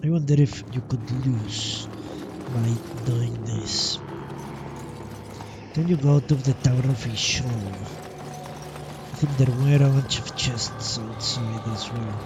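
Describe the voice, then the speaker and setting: A man's voice: mid-range voice, takes it slowly, gravelly, pauses often, over music. A man casually commentating on his own gameplay live, thinking aloud and muttering to himself with mild swearing. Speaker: live game streamer.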